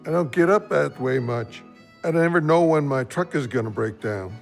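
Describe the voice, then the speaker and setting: An easygoing man at the bar in an audio play, polite and a little sheepish. His voice is creaky and moves around a lot in pitch. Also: animated, normal speed, soft background music. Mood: friendly, polite. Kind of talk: audio drama acting.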